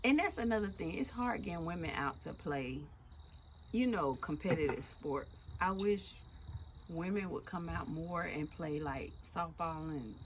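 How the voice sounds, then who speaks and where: A woman in her forties chatting casually and laughing loudly with an old friend for her video. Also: lowish voice, measured pace, noisy background. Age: 40s. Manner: loud laughing, expressive, slangy. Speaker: vlog host.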